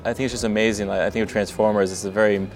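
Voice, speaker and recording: A man's voice: on the low side, level tone, soft background music. A man interviewing a guest at a convention, friendly, often restarting his questions. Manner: quick, friendly, stumbling restarts. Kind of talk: casual interview. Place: convention floor.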